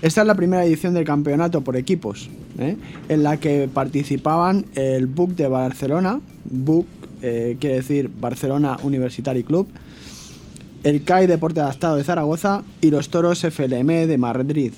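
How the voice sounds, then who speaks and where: Mellow, higher-voiced man presenting radio news. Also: normal speed, a little hiss or hum.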